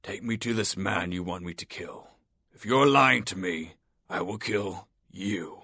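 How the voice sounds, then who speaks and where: A brooding executioner acted by a man speaks in a low, husky voice with a forceful edge. He is terse and suspicious, a menacing big figure from an audio drama. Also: unhurried, no echo, heavily acted. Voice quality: husky, rough. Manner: terse, intense, challenging.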